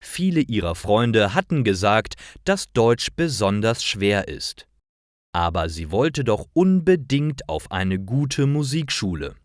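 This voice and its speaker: A man reading simple stories as a narrator for language learners, in a deliberate and expressive storytelling style. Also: lowish voice, dry recording, clean studio sound. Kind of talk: scripted storytelling narration, read slowly for learners.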